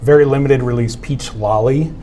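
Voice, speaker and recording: A man's voice: mid-range voice, warm tone, a little hiss or hum. A man in his thirties co-hosting a beer review, casually recommending beers in an office room. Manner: upbeat, quick recommendations, filler ums. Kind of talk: casual tasting chat. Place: office room with a TV screen, indoors.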